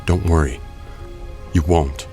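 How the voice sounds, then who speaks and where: An actor playing a grave, guarded vigilante hero in an audio drama, speaking in a serious, restrained manner. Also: male voice, rumbling low, steady tone, slow, mellow, faint music behind. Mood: grave concern.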